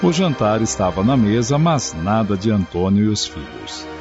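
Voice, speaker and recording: A man reads scripted radio drama narration in a fairly low voice. Music plays behind him, and the audio is slightly dull. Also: big pitch swings, moderate pace.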